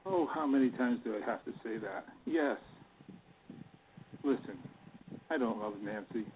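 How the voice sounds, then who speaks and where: A man's voice: mid-range voice, a little slow, close to an everyday voice. Man playing a scheming banker, tinny noisy line. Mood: exasperated. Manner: persuasive, coaxing. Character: callous, pleading, scheming bank-manager husband. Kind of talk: radio-play style acting.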